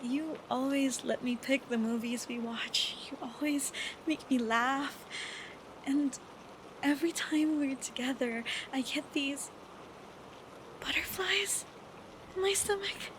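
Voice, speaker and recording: High female voice, young adult, affectionate and shy best friend acted by a woman, intimate audio roleplay, unhurried, rain sounds. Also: a little hiss or hum. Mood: shy, confessional. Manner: soft, hesitant, giggly, affectionate. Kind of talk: intimate roleplay audio acting, girlfriend-experience monologue. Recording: steady rain sound effects behind voice.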